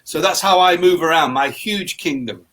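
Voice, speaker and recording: A man speaks on an online panel over a video call. His voice is slightly high for a man, and he explains ideas in a relaxed, chatty way. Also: normal speed. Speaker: panelist and fantasy author. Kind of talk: casual panel talk.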